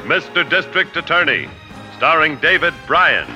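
A man's voice: fairly high pitch, very animated, measured pace. A man announcing a 1950s radio show in a grand, declamatory manner. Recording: muffled 1950s radio recording. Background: loud theme music.

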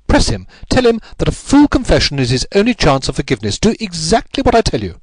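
A male narrator plays a keen, masterful detective in a storybook reading. His phrases are clipped and imperious, riding a raised, very mobile pitch in a dry recording. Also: huge pitch swings, quick pace, husky, no echo, heavily acted. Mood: urgent, commanding. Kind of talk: audiobook character voice.

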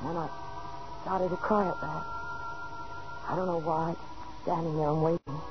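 An actress playing a frightened, scheming wife who narrates anxiously in the dramatic style of a 1940s radio thriller. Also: female voice, lowish voice, steady tone, moderate pace, close to an everyday voice. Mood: tense, brooding. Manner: anxious, dramatic first-person delivery. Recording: muffled old 1940s radio recording, organ music.

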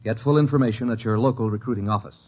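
A man reading a scripted 1950s radio announcement in a formal, deliberate way. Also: medium pitch. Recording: muffled old radio broadcast.

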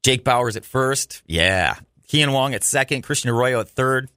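A man's voice: medium pitch, expressive, a little slow, sharp tone, no room sound. An American man hosting a sports talk radio show, speaking in a chatty, informal, opinionated way on a close studio microphone.

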